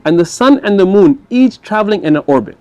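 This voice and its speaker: A man gives an earnest religious lecture and asks rhetorical questions. His voice sits high. The recording is dry and close, with no room sound. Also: lively intonation, a little fast, warm tone, no room sound, muffled recording. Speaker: speaker in a played video clip.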